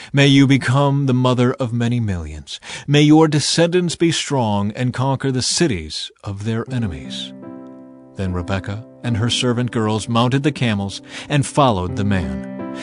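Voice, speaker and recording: A man reading scripture as a scripted audiobook narration, with measured, dramatized storytelling delivery. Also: medium pitch, lively intonation. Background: background music.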